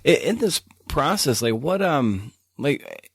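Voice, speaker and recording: A man's voice: medium pitch, sing-song, slow, husky, no room sound. A man hosting a casual interview podcast, feeling his way through loosely phrased, informal questions.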